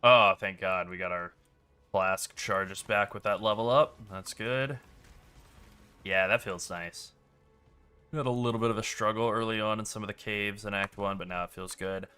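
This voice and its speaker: A man's voice: on the low side, normal speed, clean tone, soft background music. A man streaming a video game, commenting casually as he plays, with a rambling delivery full of "like.". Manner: relaxed, rambling, many "like" fillers.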